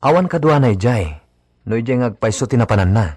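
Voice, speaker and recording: A man's voice: medium pitch, animated, quick pace, darkish tone, no room sound, natural voice. A man playing a serious, concerned member of a ranching household in a radio drama.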